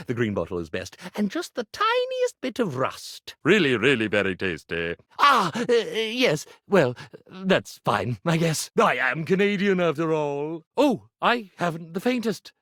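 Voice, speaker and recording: Flamboyant, wildly swooping stage illusionist. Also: male voice, fairly high pitch, fairly bright tone, dry recording, studio quality. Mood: eager, chatty. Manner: flamboyant, melodramatic, florid wording with flustered hesitations. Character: theatrical ironing board posing as grandiose stage illusionist. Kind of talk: video game character voice acting.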